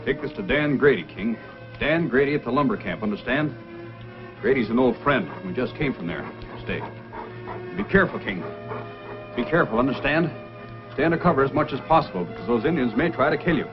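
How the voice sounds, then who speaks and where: A man acting a calm, authoritative Mountie sergeant in an old-time radio adventure, speaking firmly and deliberately. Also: medium pitch, dull audio, close to an everyday voice. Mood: urgent, instructing. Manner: deliberate, firm, commanding. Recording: old band-limited radio recording, music.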